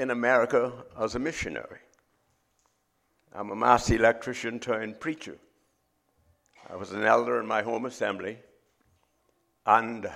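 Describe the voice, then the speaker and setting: A middle-aged man with a Bahamian accent, preaching a sermon as a visiting preacher in a measured, emphatic way. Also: mid-range voice, vocal fry, a bit bright.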